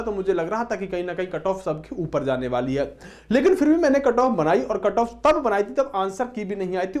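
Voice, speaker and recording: High-voiced man teaching exam candidates. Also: darkish tone. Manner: repeatedly addresses listeners as friends. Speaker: exam coaching teacher and channel host. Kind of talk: informal explanatory lecture to students.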